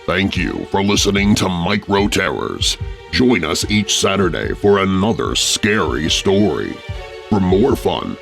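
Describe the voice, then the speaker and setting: Male announcer with a deep, gravelly voice for a steady promotional sign-off read. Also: a little slow, soft background music. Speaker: podcast announcer. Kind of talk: scripted outro announcement.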